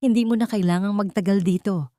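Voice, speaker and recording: Kindly elderly maid, acted by a woman reader, fearful and cautioning, audiobook drama, lifted pitch. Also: a little fast, mellow, no echo, natural voice. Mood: anxious, cautioning. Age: older. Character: frightened kindly elderly maid secretly helping a prisoner.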